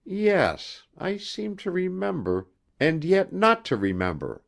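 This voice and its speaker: A man's voice: high voice, huge pitch swings, gravelly, fairly bright tone, pitched above the performer's own voice, heavily acted. A man voicing an anxious, puzzled young wife in an audiobook, speaking hesitantly. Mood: uneasy, puzzled. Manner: hesitant, halting. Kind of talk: dramatised audiobook reading.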